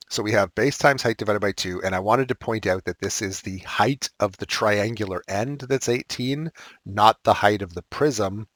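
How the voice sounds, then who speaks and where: A man teaching a recorded math lesson, thinking aloud and correcting himself as he works problems, his voice slightly raspy. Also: on the low side, a bit bright, no echo.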